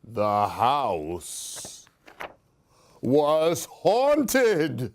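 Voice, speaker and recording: A man's voice: mid-range voice, wildly swooping pitch, very slow, slight background noise. A man hosting a story time, reading Halloween picture books aloud in a theatrical way, with questions and asides for young listeners. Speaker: storyteller and host of a children's book show. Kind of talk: expressive read-aloud of children's Halloween stories.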